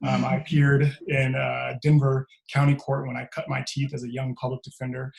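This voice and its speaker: Guy on a video call, laid-back lawyer intro, gravelly voice, kinda monotone. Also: medium pitch, moderate pace, poor audio. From California. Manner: relaxed, conversational, many fillers. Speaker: panelist, trial lawyer. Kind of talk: panel self-introduction.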